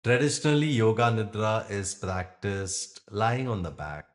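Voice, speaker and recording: A man with a low-pitched voice leads a guided relaxation. He delivers each instruction gently and very slowly, leaving generous space between phrases in the manner of a yoga nidra teacher. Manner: gentle, unhurried instruction with long pauses. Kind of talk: guided meditation.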